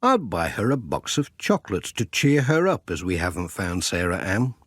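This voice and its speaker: A man's voice: low-pitched, big pitch swings, moderate pace, fairly bright tone, breathy edge, no echo, clean studio sound, full character voice. A man voicing a kindly, cheerful village postman with a friendly, earnest way of talking in a children's storybook. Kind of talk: children's storybook character dialogue.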